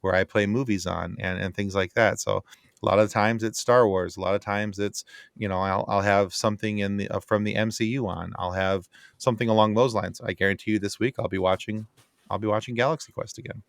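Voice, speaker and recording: A man's voice: lowish voice, steady tone, a little fast, creaky, no room sound, studio quality. A man in a casual podcast discussion, rambling along conversationally. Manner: rambling, frequent "you know". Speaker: podcast panelist.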